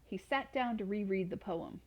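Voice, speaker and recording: Lady doing an expressive read-aloud for kids, mid-range voice. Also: mid-range voice, normal speed, highs cut off. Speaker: storyteller reading to children.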